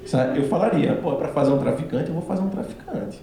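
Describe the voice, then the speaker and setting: A man's voice: fairly high pitch, fairly quick, creaky, crowd noise. A man from Recife with a strong Pernambuco accent, telling a story animatedly in a casual discussion after a film screening. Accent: Pernambuco Northeastern Brazilian. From Recife, Pernambuco, Brazil. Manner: animated storytelling, laughs often. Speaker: actor, panel guest. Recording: very echoey hall, some noise.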